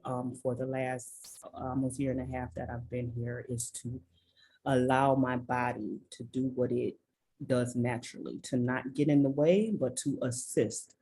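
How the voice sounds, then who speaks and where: A woman from Michigan telling her story as an interview guest, slow and earnest, with frequent ums and restarts over a video call. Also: extremely low pitch, flat delivery, mellow, slightly rough, low-quality recording. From Michigan, USA. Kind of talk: reflective personal storytelling. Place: rural village in southern Belize.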